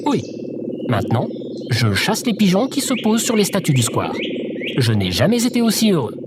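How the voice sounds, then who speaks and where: A man's voice: high voice, wildly swooping pitch, normal speed, background noise. A man playing eccentric, pompous scarecrow and tin-man characters, musing theatrically in a scripted audio drama. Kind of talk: scripted audio fiction acting.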